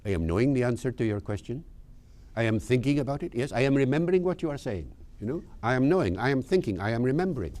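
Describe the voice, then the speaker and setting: A man quoting an adult Indian English speaker with steady, repetitive phrasing. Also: medium pitch, quick pace, close to an everyday voice. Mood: earnest.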